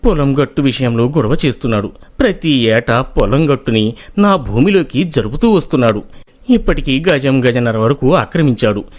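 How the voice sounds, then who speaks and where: A man's voice: mid-range voice, expressive, moderate pace, close to an everyday voice. A man voicing an honest, troubled village farmer in a moral story, humble and plaintive. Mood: aggrieved, pleading. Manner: plaintive, respectful. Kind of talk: moral-story character acting.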